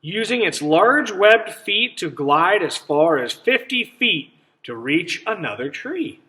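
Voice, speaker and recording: A man reading a picture book aloud to children as a teacher, pausing to ask simple questions and explain things. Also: high voice, sing-song, sharp tone. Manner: instructive, checks understanding with simple questions.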